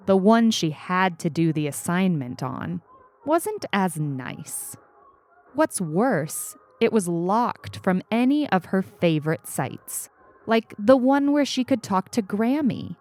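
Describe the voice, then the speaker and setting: A woman reading scripted fiction as a story narrator, with expressive storytelling. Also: fairly low pitch. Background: faint music.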